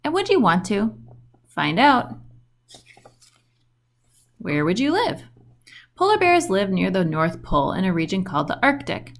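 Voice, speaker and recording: A woman reading a children's book aloud in an expressive storytelling style with questioning intonation. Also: medium pitch, a little slow, pauses often.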